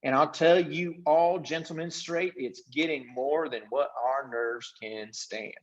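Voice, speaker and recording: A man voicing a blustering, folksy invisible chief who rambles in rough rustic grammar, in a storybook reading. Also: on the high side, measured pace, highs cut off, natural voice. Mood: earnest, complaining.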